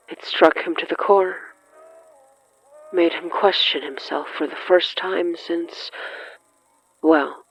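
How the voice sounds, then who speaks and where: Slow measured horror podcast narrator, dramatic pauses, kinda muffled radio sound. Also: medium pitch, fairly bright tone, dry recording. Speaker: narrator of an audio fiction podcast. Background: faint music.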